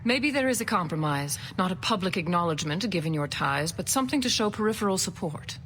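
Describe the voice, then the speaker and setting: A woman voicing a calm, diplomatic alien councilor in a video game, speaking formally and with poise. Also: fairly low pitch, fast talker, in a large hall, slight background noise. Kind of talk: video game cutscene acting.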